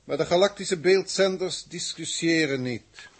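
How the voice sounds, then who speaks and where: An actor playing a lofty alien starship commander in a 1960s radio play, speaking formally and deliberately. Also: male voice, on the high side, slightly rough. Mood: lofty, explanatory. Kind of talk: 1960s Dutch science fiction radio play acting.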